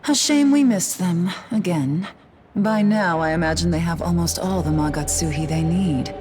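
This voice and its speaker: A woman's voice: on the low side, normal speed, noisy background, close to an everyday voice. A woman playing a sly, aloof, elegant schemer in a video game, silky, formal and condescending. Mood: sly, amused. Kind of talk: dramatic video game voice acting. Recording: music behind the voice.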